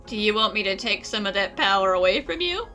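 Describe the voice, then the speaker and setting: A woman's voice: medium pitch, sing-song, a little fast, pushed and bright, soft background music. A woman hosting a gaming channel, making casual, wry comments over a story game. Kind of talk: casual let's-play commentary.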